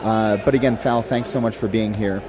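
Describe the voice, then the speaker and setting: A man's voice: on the low side, steady tone, a little fast, a crowd in the background, low-quality recording. A man hosting a podcast, chatting casually with a guest and reading plugs at the close. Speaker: podcast host. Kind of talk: casual interview and show sign-off.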